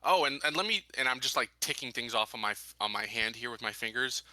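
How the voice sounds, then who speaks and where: A man talking casually as a player in a tabletop roleplaying game, thinking aloud in a deliberate, halting way. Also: medium pitch, expressive, pushed and bright, dry recording. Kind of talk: casual in-character and out-of-character game talk.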